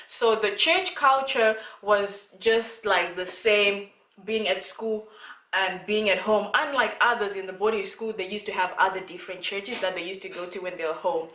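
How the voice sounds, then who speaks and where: A woman's voice: medium pitch, fairly bright tone, reverberant, rough recording. A young woman from Zimbabwe talking casually to her channel's viewers, rambling with lots of fillers and restarts. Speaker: vlogger. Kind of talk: casual talk to camera. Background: child talking in the background.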